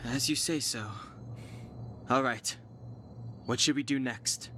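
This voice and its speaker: A man's voice: medium pitch, slow pace, background noise, lightly acted. An actor playing a cool, cautious and serious young man in an anime-style video game. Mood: composed. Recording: music under the voice.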